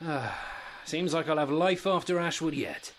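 Hesitant, wry man, fairly high voice, acted. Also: lively intonation, moderate pace, slight background noise, close to an everyday voice. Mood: pleased. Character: self-regarding, sardonic man polishing his public image. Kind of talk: naturalistic British comedy drama.

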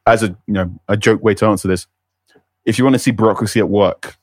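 Man, low voice, chatty podcast panelist, dry mic. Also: fairly even intonation, quick pace, no echo. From London. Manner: chatty, thinks aloud with restarts. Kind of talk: casual discussion.

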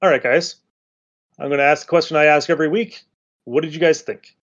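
A man's voice: fairly high pitch, steady tone, fairly quick, dull audio, noisy background. An American man hosting a podcast in casual, rambling conversation. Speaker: podcast host. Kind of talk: casual chat.

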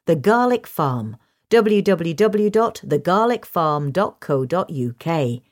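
A woman's voice: fairly low pitch, big pitch swings, slow pace. A woman reading a gardening article aloud as scripted narration. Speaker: article reader.

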